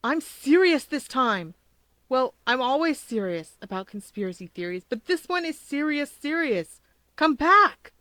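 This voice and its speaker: A woman voicing an earnest, deadpan teenage boy, gravely serious about his conspiracy theories, in a fan fiction reading. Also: sits high, unhurried, voice pushed up, full character voice. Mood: desperate. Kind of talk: fan fiction audiobook character voice.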